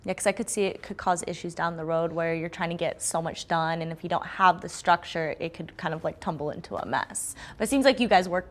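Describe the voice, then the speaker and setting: A woman's voice: fairly low pitch, fairly quick, few pauses. A woman asking questions in a casual interview.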